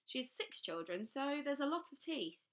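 A woman doing a deadpan, unsentimental governess. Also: high voice, normal speed, pitched above the performer's own voice. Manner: expressionless. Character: dry, plain governess, unsentimental.

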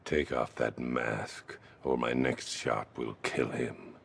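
A man voicing a cold, theatrical supervillain in a video game, gloating with slow, ominous deliberation and a gravelly rasp. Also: very deep voice, flat delivery, fairly bright tone, slight background noise, exaggerated voice. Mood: cold, commanding. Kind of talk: dramatic video game villain acting.

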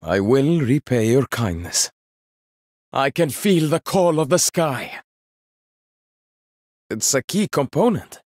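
Casting: proud young game hero, inventor-warrior, dry wit, slow deliberate delivery, lively pitch, dry close studio sound. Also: male voice, no room sound. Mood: confident. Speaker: game character. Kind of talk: video game voice lines.

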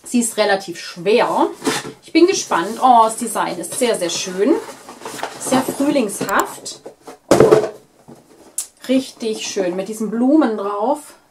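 A woman in her thirties with a cold, chatting enthusiastically as she unboxes beauty products on camera in a small bright room. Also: mid-range voice, animated, slow, long pauses, noisy background. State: stuffy, with a cold. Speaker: beauty vlogger. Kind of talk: casual unboxing chat.

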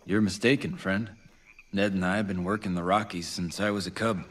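Deep-voiced, grim young gunslinger. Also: male voice, fairly even intonation, a little fast. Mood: grim resolve. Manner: terse, menacing. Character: grim vengeful young gunslinger, terse and menacing. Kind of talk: video game western acting. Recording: game audio with loud music behind.

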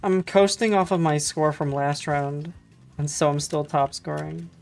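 A woman with a low voice, streaming a game and chatting casually with friends, restarting her sentences. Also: steady tone, measured pace, creaky, a little mellow, faint music behind. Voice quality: low-pitched voice. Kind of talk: casual gaming chat with friends.

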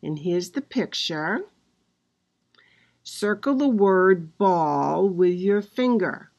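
A woman teacher guiding children through a worksheet with patient, drawn-out instructions. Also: on the low side, lively intonation, creaky, darkish tone.

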